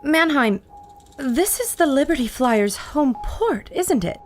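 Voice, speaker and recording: Alert adventure heroine, female actor: bright-eyed observer, high voice, urgent, expressive radio-play delivery. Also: takes it slowly, faint music behind, exaggerated voice. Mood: curious. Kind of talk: audio drama acting.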